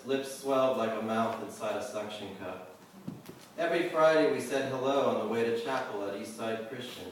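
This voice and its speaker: A man gives a live poetry reading in a very reverberant room, picked up from some distance. His medium-pitched voice is deliberate on the verse and loosens into offhand, casual talk. There is faint noise behind him. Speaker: poet reading own work. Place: live reading venue, indoors. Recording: roomy echo, distant mic, faint noise.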